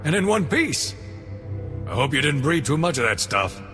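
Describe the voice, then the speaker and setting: A man's voice: a little high, sing-song, normal speed. A man playing a blunt, grateful security chief in a game, plain-spoken in manner. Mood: relieved. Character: gruff but grateful male security chief. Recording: long reverb, faint music.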